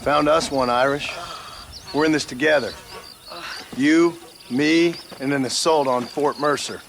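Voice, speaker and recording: An actor playing a stern American cowboy gunslinger in a game, with a Western accent and a dry, threatening, sarcastic manner. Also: male voice, fairly high pitch, measured pace, gravelly, a bit bright, slight background noise. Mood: stern, pressing. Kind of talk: game voice acting.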